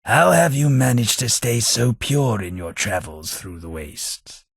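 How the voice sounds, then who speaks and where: A man's voice: mid-range voice, big pitch swings, unhurried, gravelly, breathy edge, dry recording, exaggerated voice. An actor playing a prim, snobbish male companion in a video game, with a dry, sardonic delivery.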